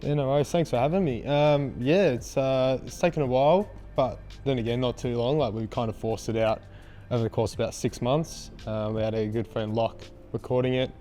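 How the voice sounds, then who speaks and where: A young Australian man with a raspy voice, a band frontman answering interview questions in a laid-back, hesitant way with lots of ums. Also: mid-range voice, brisk, warm tone, faint music behind.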